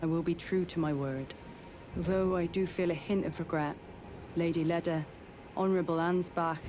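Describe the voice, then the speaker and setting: An actress playing a proud, honourable warrior woman with quiet resolve, speaking in formal, restrained phrases for a fantasy video game. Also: lowish voice, background noise, lightly acted. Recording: game music behind the voice.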